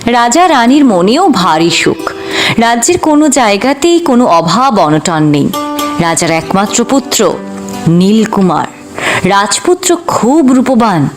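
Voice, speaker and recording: Slow, expressive woman storyteller. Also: fairly high pitch. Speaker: narrator. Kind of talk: storybook narration. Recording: music playing behind.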